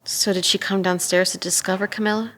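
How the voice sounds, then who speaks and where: A woman's voice: mid-range voice, flat delivery, brisk, a bit bright, natural voice. Woman acting a probing, direct female detective. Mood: steady, probing. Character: probing, steady female police detective questioning a suspect. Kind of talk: audio drama table read.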